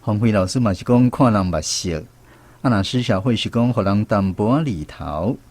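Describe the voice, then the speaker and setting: A man's voice: lowish voice, animated, normal speed, a little mellow. A man with a Taiwanese accent, speaking as a radio host leading a language quiz segment. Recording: music playing behind the voice. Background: instrumental music.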